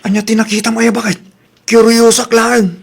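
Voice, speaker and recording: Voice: mid-range voice, level tone, normal speed, gravelly, husky. An actor playing a brash, teasing, inquisitive neighbor with an Ilocano accent in a comedy drama, full of questions and exclamations. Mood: curious.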